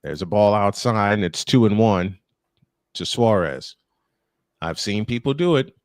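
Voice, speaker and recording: A man's voice: fairly low pitch, lively intonation, unhurried, no room sound, studio quality. A man calling a baseball game live as play-by-play host, slipping between commentary and casual chat.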